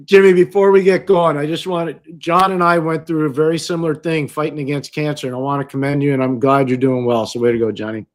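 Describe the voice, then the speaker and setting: A man's voice: on the high side, steady tone, fast, hardly any pauses. A man co-hosting a podcast as a hockey analyst, talking casually and emphatically, repeating phrases for emphasis. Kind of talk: casual sports talk.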